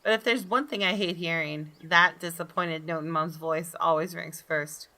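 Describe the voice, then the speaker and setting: Bright-voiced woman narrating a visual novel. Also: lowish voice, fairly even intonation, normal speed. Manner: stumbles and rereads phrases. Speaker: gamer reading a visual novel aloud. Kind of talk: dramatic scripted narration of first-person text.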